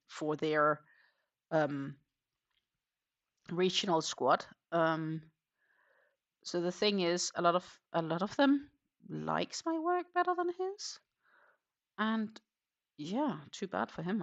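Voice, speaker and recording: A woman's voice: low voice, gravelly, no room sound, dull audio. A woman hosting a crochet livestream, chatting casually with many ums and muttering her stitches as she works. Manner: chatty, rambling, with frequent ums and half-muttered stitch counting.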